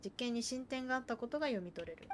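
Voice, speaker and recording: A woman's voice: a little high, lively intonation, racing, background noise. A woman doing casual live commentary as a game streamer, chatting and reacting into a streaming microphone. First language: Japanese.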